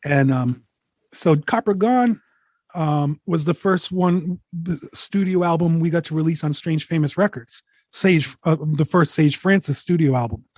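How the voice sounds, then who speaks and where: Candid, reflective man with a higher voice. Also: measured pace, a little mellow, no room sound. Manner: candid, reflective, swears casually. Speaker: guest musician. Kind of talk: candid conversational interview.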